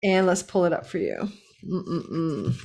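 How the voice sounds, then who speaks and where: A hoarse woman host giving a casual, digressive horoscope reading, recorded indoors at a relative's house and laughing at herself now and then. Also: fairly low pitch, big pitch swings, slow pace, darkish tone. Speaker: astrologer host.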